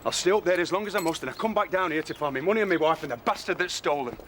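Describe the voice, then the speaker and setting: An actor playing a gruff, stubborn veteran major, blunt and surly, in a period drama. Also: male voice, a little high, very fast, noisy background, close to an everyday voice. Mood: irritated.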